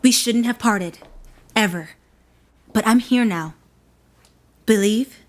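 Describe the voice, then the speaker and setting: An actress playing a tender, supportive wife in a dramatic stage musical. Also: female voice, on the high side, slow pace, a little hiss or hum. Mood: tender, reassuring. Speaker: musical theatre actor.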